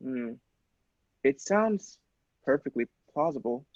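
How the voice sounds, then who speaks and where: A man's voice: mid-range voice, lively intonation, slow pace, a little mellow, dry recording, muffled recording. A man playing an earnest, shaken doctor in a radio play, agreeable and hesitant, with dramatic stammers. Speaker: podcast actor.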